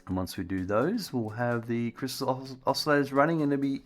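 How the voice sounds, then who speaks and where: A man's voice: medium pitch, brisk, faint music behind. A man streaming a building game, chatting casually and thinking aloud as he works, with his voice getting strained. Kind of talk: casual gameplay commentary.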